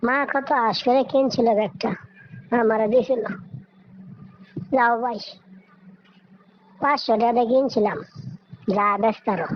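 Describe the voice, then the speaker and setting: A woman's voice: fairly high pitch, normal speed, pauses often, close to an everyday voice. A muffled recording with some noise carries a woman acting as a poor elder sister in a moral cartoon. She sounds weary, caring and plaintive, lamenting in speech. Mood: resigned, urging. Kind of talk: Bengali moral story cartoon dialogue. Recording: dull, noisy lo-fi recording.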